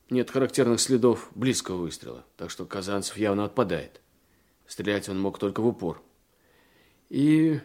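A man's voice: medium pitch, darkish tone, close to an everyday voice. Earnest male detective reporting in, an actor's role. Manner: explanatory, deferential, eager. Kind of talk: Russian radio drama acting.

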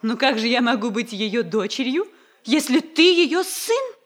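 An actress playing a coy, quick-tempered young sweetheart, theatrical and emotive, in 1960s radio comedy style. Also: female voice, on the high side, very animated. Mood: coy, teasing. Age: young adult.

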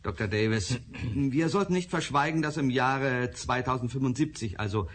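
An actor playing an eminent male professor, speaking in a measured, authoritative way with a faintly menacing edge. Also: mid-range voice, slight background noise, natural voice. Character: eminent older male scientist, proud and guarded. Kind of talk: radio drama acting.

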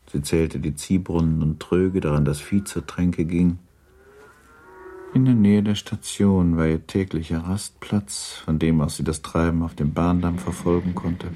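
A man reading scripted literary narration for a radio play, measured and composed. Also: low voice, warm tone, slight background noise.

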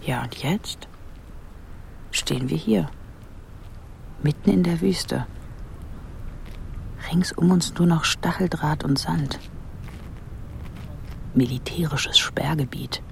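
An actress playing an observant, quietly uneasy young woman, speaking in a hushed, reflective inner monologue for a radio play. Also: low-pitched, slow, gravelly, long pauses, a little hiss or hum, full character voice. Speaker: protagonist and inner narrator. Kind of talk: intimate radio drama monologue.

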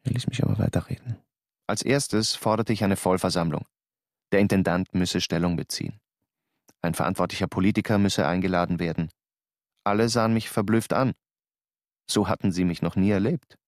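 A man's voice: sits low, fairly even intonation, a little fast, dry recording, studio quality. A man voicing a reflective male theater actor who narrates his story in the first person. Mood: calm.

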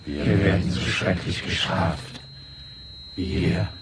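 An actor playing a grim, bitter plague victim in a radio play, chanting in halting, incantatory phrases. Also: male voice, deep voice, noisy background. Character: grim dead Londoner of the plague, bleakly bitter.

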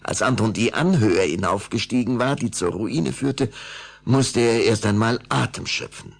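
A man narrating a radio play story in a dramatic, suspenseful and expressive way. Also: fairly low pitch, moderate pace, gravelly.

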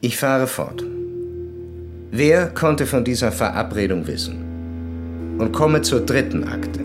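An actor playing a determined, grim police commissaire in a crime radio drama, speaking deliberately and formally. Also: male voice, medium pitch, very animated, music in the background. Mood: formal, insistent.

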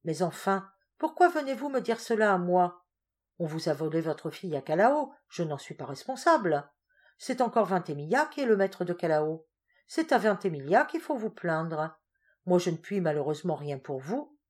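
A woman voicing a boastful, theatrical male general in a read-aloud story, loud and exclamatory. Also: fairly low pitch, sing-song, normal speed. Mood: evasive. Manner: bombastic, exclamatory.

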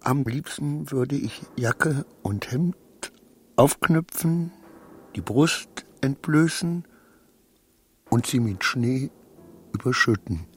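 An actor playing an anxious, paranoid clerk losing his mind in a radio play, halting and often dropping to a whisper. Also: medium pitch, expressive, breathy edge. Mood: haunted dread. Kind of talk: literary radio play acting.

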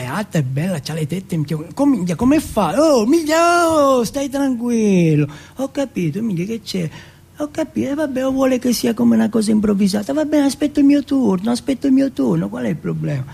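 A man playing an excitable, foul-mouthed teenage street boy from Turin in a stage monologue, slangy and repetitive. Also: very high voice, vocal fry, breathy edge, voice pushed up, full character voice. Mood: agitated, pleading. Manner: slangy, repetitive, swearing at every breath.

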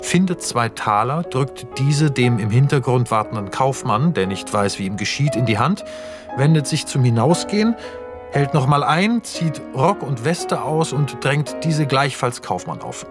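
A man's voice: medium pitch, animated, measured pace, music in the background, close to an everyday voice. A man narrating scene and camera directions in a radio play, detached and scripted. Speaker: narrator reading screenplay directions.

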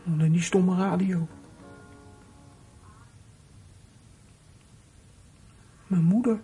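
A man's voice: high-pitched, moderate pace, mellow, breathy edge, soft background music, exaggerated voice. A young man playing a troubled, resentful teenage runaway in a radio drama, muttering his thoughts and flaring up in indignation. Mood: hurt, indignant. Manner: muttering to himself, bursts of indignation. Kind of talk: radio drama acting with inner monologue.

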